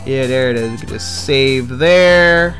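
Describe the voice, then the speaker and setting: A man talks in a casual, rambling way on a gaming livestream. He circles back over his own phrases in a mid-pitched voice, with music playing behind him. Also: a little slow, fairly bright tone. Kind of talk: casual gaming stream chat.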